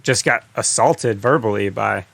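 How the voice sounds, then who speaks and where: A man's voice: on the low side, unhurried, vocal fry, fairly bright tone, breathy edge, no echo. An American man trading casual banter as a podcast co-host.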